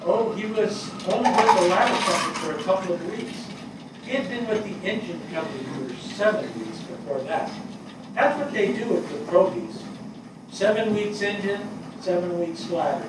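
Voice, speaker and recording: A man in his sixties playing a plainspoken, grieving fire captain in a stage reading, halting and matter-of-fact. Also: high-pitched, lightly acted. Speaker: stage actor in a dramatic reading. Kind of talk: conversational stage dialogue read from a script. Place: small theatre stage before an audience. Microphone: far camera. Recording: echoey theatre, distant mic, noisy.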